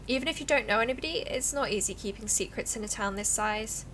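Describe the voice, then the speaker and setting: A woman's voice: fairly high pitch, fast, a bit bright, a little hiss or hum, lightly acted, poor audio. A woman voicing a friendly, talkative small-town local in a visual novel, with a folksy manner. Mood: friendly, chatty. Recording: music playing behind the voice.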